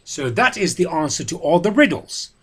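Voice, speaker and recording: A man acts out a shrewd, commanding captain in a read-aloud fantasy tale, his voice lifted above the reader's usual pitch. The lines come out measured and declaiming. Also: sing-song, slightly rough. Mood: triumphant.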